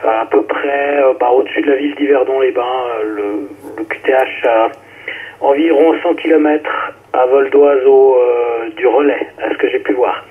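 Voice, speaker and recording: Male radio amateur, mid-pitched, halting informal check-in, very narrow phone-like transmission. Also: fairly even intonation.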